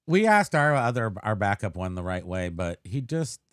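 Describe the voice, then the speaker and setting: A man's voice: fairly low pitch, moderate pace, clean tone, no room sound, clean studio sound. A man, co-hosting a podcast in casual, joking chat and slipping into silly put-on voices. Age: 50s. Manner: jokey, animated, slips into silly put-on voices. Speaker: podcast co-host.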